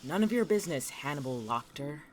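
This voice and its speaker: Bitter, exasperated college girl, woman actor; very low register, curt sarcasm, audio drama, faint noise. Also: animated, a little fast. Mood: irritated. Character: bitter, hot-tempered, exasperated young female student.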